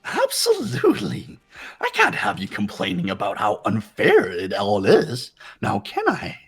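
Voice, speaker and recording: A man's voice: fairly low pitch, huge pitch swings, measured pace, breathy edge, pitched below the performer's own voice, exaggerated voice. A man voicing a gleefully menacing, mocking robot bear headmaster in a fan-dubbed game, theatrical and teasing in sing-song swoops. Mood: smug, teasing. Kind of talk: fan voice acting of a visual novel game.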